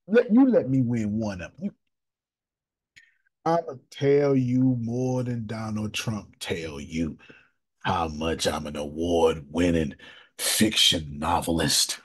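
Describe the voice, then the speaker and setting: A man hosting a casual coaching call over video, animated and emphatic, with slangy, repetitive phrasing. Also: medium pitch. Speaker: business coach and host.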